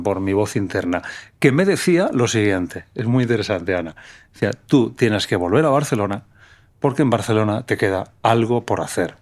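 A middle-aged man from Menorca, telling a personal story as a guest in a conversation, animated and checking in with "¿vale?". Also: on the low side. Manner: animated, frequent "¿vale?" checks, repeats himself.

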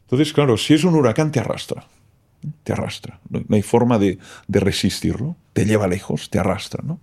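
A man whose first language is Catalan speaks as a philosopher guest, reflective and explanatory. His voice sits low, with a mellow, dark tone and a gravelly fry. He keeps checking in with short questioning tags. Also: brisk. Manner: thoughtful, explanatory, keeps tagging "¿no?". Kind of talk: reflective interview talk.

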